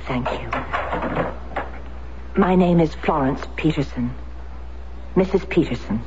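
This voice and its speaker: An actress playing a middle-aged, determined American war widow in a radio drama, speaking earnestly and insistently. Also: female voice, sits low, slow. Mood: earnest, intent. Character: determined American war widow seeking her husband's betrayer. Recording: dull, noisy vintage radio recording.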